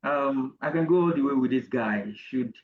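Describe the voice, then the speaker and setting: A man's voice: mid-range voice, moderate pace, a little hiss or hum. Nigerian man in a roomy, dull recording. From Nigeria, Ogun State. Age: middle-aged. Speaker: interview guest, university professor. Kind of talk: personal anecdote in an academic interview.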